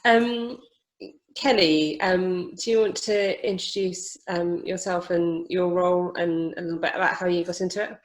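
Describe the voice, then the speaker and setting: A woman moderates a panel, asking each guest in turn to introduce themselves. She speaks briefly and politely with a lowish voice. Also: fairly even intonation, moderate pace, low-quality recording.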